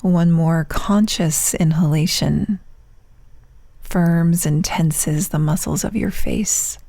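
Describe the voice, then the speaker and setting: A woman leading a guided meditation as a yoga nidra teacher, speaking in hushed, soft phrases with long pauses, turning breathy at phrase ends. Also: on the low side, dry recording, clean studio sound. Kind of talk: guided meditation, soothing instruction.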